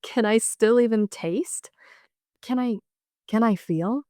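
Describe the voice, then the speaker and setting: Man putting on a high, hesitant, anxious lady. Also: darkish tone, clean tone, no room sound, clean studio sound. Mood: timid, sad. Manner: hesitant, halting, repeating words. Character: anxious, bewildered kindly lady passenger, newly dead.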